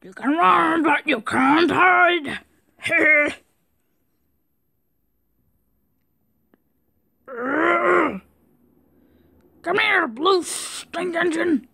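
A woman's voice: very high voice, expressive, very slow, creaky, long pauses, exaggerated voice. A woman voicing a menacing, gloating male diesel engine villain in a fan dub, with theatrical taunting delivery. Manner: theatrical taunting, shouting and cackling. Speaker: amateur voice actor.

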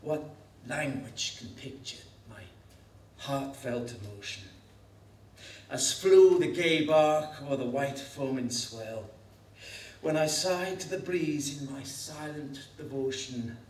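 A middle-aged man giving a dramatic poetry recital, measured and expressive, with dramatic pauses. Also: mid-range voice, a little hiss or hum. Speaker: poetry reader. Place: school hall stage before an audience. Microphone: lectern gooseneck microphone. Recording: echoey hall, distant microphone.

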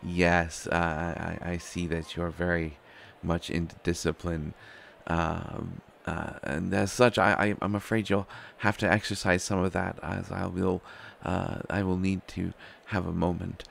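A man's voice: deep voice, level tone, unhurried, gravelly, hardly any pauses, slight background noise, heavily acted. A man playing an anxious, stammering young prince who is reluctant to become king, in a roleplay audio drama. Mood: flustered politeness. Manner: flustered, hesitant, stammering, sighing. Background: faint music.

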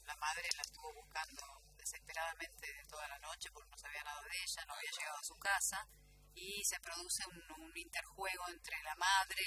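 An Argentine woman talks as a studio radio guest. Her mid-range voice moves expressively as she searches for words, over clearly heard music. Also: medium pitch. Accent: Rioplatense Spanish from Argentina. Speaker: theatre group member, guest. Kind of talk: conversational explanation in a radio interview. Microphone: studio microphone.

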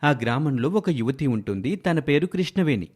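A man's voice: on the high side, lively intonation, normal speed, a little mellow, breathy edge. A man narrating a scripted moral tale as a storyteller.

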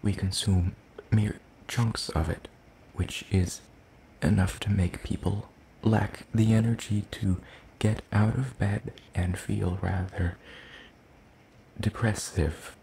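Hungry soul-eating demon for ASMR roleplay, played by a man: soft, low, creaky, halting, sinister yet apologetic. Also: fairly even intonation, slight background noise, low-quality recording. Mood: earnest, subdued. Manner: slow, halting, hushed delivery with deliberate pauses.

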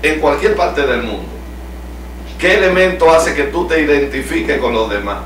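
A man's voice: a little high, animated, moderate pace, fairly bright tone. A middle-aged man from El Salvador with a Salvadoran accent, a pastor leading a home Bible study and asking the group questions, recorded from across a living room. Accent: Salvadoran Spanish. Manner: teaching, asks the group questions. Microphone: far camera. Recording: echoey room from far off, faint hum.

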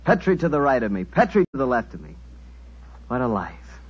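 A chummy male announcer delivers 1940s-style radio ad patter with lively, animated pitch. His voice is slightly husky. It comes through a dull old broadcast recording. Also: brisk. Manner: chummy, folksy salesman.

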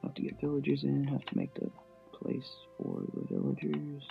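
A man's voice: medium pitch, wildly swooping pitch, moderate pace, gravelly, faint music behind. A man giving casual commentary on his own gameplay, thinking aloud in short fragments. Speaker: gamer narrating own gameplay. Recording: thin phone-like mic, noisy, clicking.

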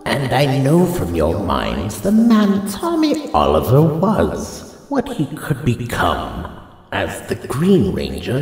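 A man playing an ancient, solemn guardian spirit who speaks gravely and portentously in a superhero audio drama. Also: lowish voice, darkish tone, full character voice. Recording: long cavernous reverb.

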